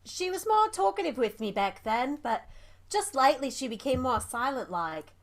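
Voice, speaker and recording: A woman acts a young servant girl in a radio play, high-pitched and very animated. She is loyal and deferential, chatting in a plain, colloquial servant's way. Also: moderate pace. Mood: earnest testimony. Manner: colloquial, ungrammatical servant speech. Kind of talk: audio drama acting.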